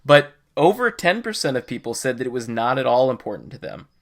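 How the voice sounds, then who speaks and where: A man's voice: mid-range voice, big pitch swings, brisk, vocal fry. A man co-hosting a podcast, explaining things in a casual, conversational way. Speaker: podcast co-host.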